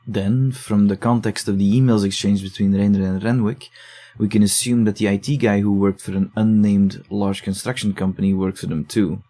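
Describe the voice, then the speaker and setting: A man in an audio drama, calmly explaining findings and reading a patient's letter aloud. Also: fairly low pitch, moderate pace. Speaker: investigator reading case files.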